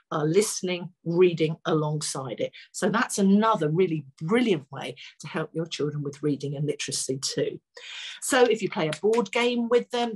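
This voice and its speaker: Woman, 60s, slightly low husky voice, informal and encouraging advice talk over a video call. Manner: encouraging, conversational. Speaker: guest speaker. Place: furnished room at home. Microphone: video call, laptop microphone.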